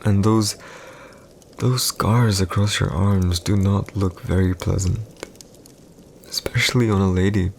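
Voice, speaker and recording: A man playing a proud, calculating villain emperor in an audio roleplay, speaking in a measured, commanding way with deliberate pauses. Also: low-pitched, steady tone, creaky, slight background noise. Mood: cold, menacing. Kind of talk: scripted boyfriend audio roleplay, slow dramatic monologue.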